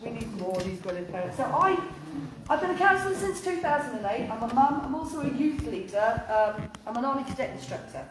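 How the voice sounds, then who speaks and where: Blunt, loud councillor, high-pitched, recorded from across an echoing hall. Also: female voice, lively intonation, fast. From North Wales. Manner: blunt, emphatic, rising to indignant questions. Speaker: county councillor. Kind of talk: public meeting speech. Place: chapel hall. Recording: echoey hall from far off with faint noise.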